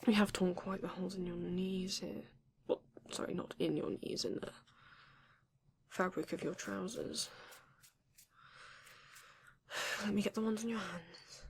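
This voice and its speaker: A woman playing a flirty, courteous vampire in an ASMR romance roleplay, breathy and often dropping to a whisper, with genteel, teasing phrasing. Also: medium pitch, level tone, heavily acted. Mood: tender, attentive. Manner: slow, genteel, teasing, old-fashioned wording. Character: flirty, courteous vampire living alone in a castle.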